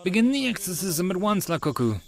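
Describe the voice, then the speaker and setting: Man acting a melodramatic, high-pitched young mad scientist. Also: big pitch swings, a little fast, creaky, heavily acted. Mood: commanding. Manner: bossy, melodramatic. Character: theatrical, self-important, delusional young mad scientist. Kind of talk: anime visual novel character reading.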